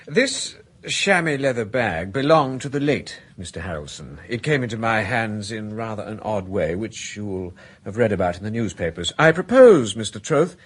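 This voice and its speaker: A man playing a composed aristocratic lord in a radio drama, speaking formally and deliberately. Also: lowish voice, very animated, slightly rough.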